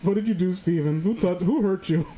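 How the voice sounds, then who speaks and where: A woman's voice: fairly low pitch, normal speed, dark tone, poor audio. A woman hosting a casual comedic reading, joking crudely and irreverently as she riffs with friends. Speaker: host of a story-reading show.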